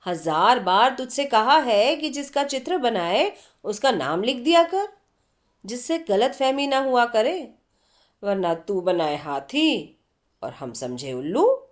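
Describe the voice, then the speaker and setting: Storybook role: irritable, earnest young college woman, done by a female narrator, teasing, very animated, unhurried. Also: fairly high pitch. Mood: mocking. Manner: teasing, scolding, exclamatory.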